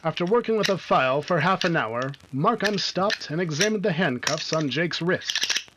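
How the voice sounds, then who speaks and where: A man narrates an old-time radio adventure from a script. His voice sits somewhat higher than average. The delivery is that of a storyteller linking dramatic scenes. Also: lively intonation, moderate pace, noisy background.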